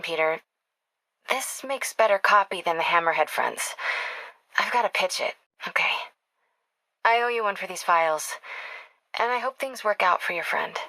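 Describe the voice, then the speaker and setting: Young female reporter for a video game: bright, forward voice, close dry sound, earnest and wry, natural phone-call delivery. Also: lowish voice, no echo. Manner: conversational, earnest, confiding.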